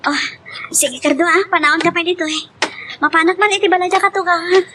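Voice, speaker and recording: A woman's voice: extremely high pitch, steady tone, quick pace, pushed and bright, a little hiss or hum. A woman acting a fretful, emotional young wife and mother in an amateur drama, with an Ilocano Filipino accent. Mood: subdued, worried.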